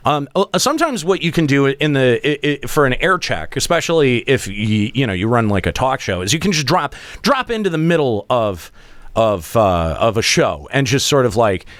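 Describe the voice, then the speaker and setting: Bossy man with very animated pitch. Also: no echo. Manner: halting, bossy, teasing. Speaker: radio host and boss giving feedback. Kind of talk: casual on-air critique with co-hosts.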